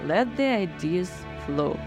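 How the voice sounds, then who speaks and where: A woman's voice: lowish voice, a little slow, over music. A woman playing a sarcastic, blocked playwright. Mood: sardonic. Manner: sarcastic, wry, deadpan comebacks. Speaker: writer. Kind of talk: radio drama acting.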